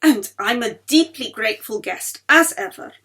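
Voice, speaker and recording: Woman reader as refined female musician, late thirties, polite and hesitant, lifted pitch, audiobook dialogue. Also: animated, normal speed, pushed and bright. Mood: grateful. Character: polite, reticent woman musician nearing forty.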